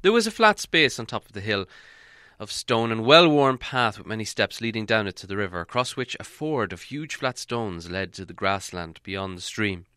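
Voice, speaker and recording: A man narrating an audiobook in a measured storytelling way, softening on descriptive passages. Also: fairly low pitch, expressive, clean tone, no echo, clean studio sound. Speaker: audiobook reader.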